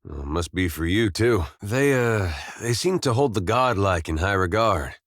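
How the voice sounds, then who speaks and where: Male actor as wry, weary farmhand soldier for a fantasy game: low drawl, plain-spoken, dry close recording. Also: animated, normal speed, no room sound, lightly acted. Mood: curious, wry. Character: easygoing young-middle-aged farmer-soldier, wry, folksy, weary. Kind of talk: video game companion dialogue.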